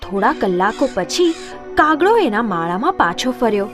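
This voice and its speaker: Very animated woman storyteller. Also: a little high, moderate pace, over music. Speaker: narrator. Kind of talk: scripted children's story narration.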